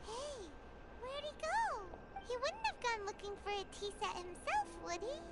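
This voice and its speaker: A woman voicing a tiny, chirpy female companion creature in a game, with squeaky, bouncy, childlike chatter. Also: big pitch swings, moderate pace. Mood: puzzled. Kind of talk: game character dialogue. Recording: game audio with loud music behind.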